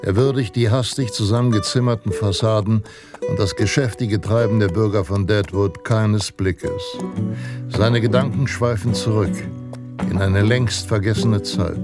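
An actor playing a solemn, measured narrator of a western tale in a radio play. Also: male voice, fairly low pitch, fairly even intonation, lightly acted. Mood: solemn, heroic. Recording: guitar music behind the voice. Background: plucked guitar music.

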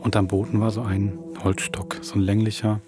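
A man's voice: on the low side, level tone, brisk, music in the background. A man hosting a podcast, casually telling an anecdote in conversational style. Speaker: podcast host introducing an audio drama.

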